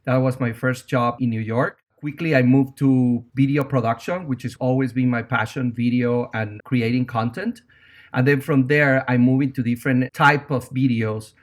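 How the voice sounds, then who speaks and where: A man's voice: mid-range voice, steady tone, normal speed. A man from Venezuela with a Venezuelan Spanish accent, a native Spanish speaker, talking earnestly and reflectively as an interview guest.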